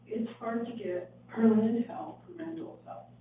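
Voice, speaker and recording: An older woman with a hoarse voice speaking haltingly and earnestly during public comment at a town council meeting. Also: fairly high pitch, lively intonation, a little hiss or hum. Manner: halting, earnest, many restarts. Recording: tinny, echoey hall audio from a distant mic.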